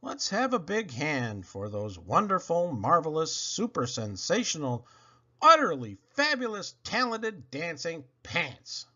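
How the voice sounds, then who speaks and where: A man reading storybook poetry aloud to children in a theatrical, expressive way with dramatic pauses. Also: on the high side, highs cut off. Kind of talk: animated storybook read-aloud.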